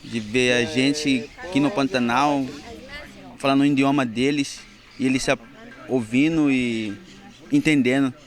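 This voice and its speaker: A man's voice: mid-range voice, steady tone, measured pace. A man, a tour guide, speaking plainly and unhurriedly in an interview. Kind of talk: interview answer.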